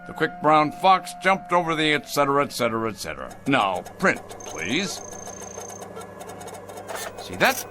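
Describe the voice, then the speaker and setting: An actor playing a cold, pompous factory boss in a radio drama, with a theatrical, condescending manner. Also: male voice, fairly high pitch, sing-song, a little slow, pauses often, sharp tone, soft background music, heavily acted. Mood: smug, excitable.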